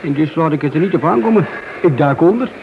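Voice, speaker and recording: An actor playing a wheedling, hesitant petty crook and police informer in a radio play. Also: male voice, fairly high pitch, animated, fast. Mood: evasive, wheedling. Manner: hesitant, evasive, servile to his handler. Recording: dull, noisy old radio drama recording.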